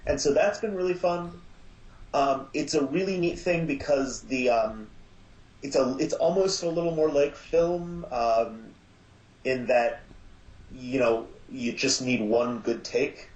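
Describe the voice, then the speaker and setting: A man speaking as an interview guest in casual chat, enthusiastic but halting and reflective. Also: medium pitch, a little mellow, reverberant, lo-fi sound. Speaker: podcast guest, audio drama maker.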